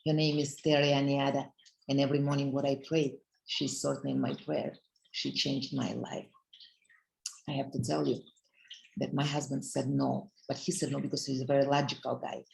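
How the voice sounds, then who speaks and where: A woman's voice: a bass voice, flat delivery, normal speed, creaky, a little mellow, rough recording. Earnest middle-aged woman with a Russian accent. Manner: earnest, emotional, addresses audience directly. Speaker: motivational business speaker. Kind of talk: heartfelt motivational talk. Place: speaking on a video call. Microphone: video call.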